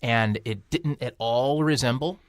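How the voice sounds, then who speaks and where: A man giving casual film commentary on a panel, with a halting, wry delivery. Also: medium pitch, dry recording, studio quality. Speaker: podcast panelist.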